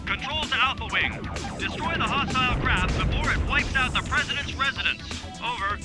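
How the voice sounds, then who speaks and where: A woman's voice: a little high, brisk, over loud music, close to an everyday voice. A woman playing a combat flight controller in a video game, giving urgent, terse, commanding military radio orders.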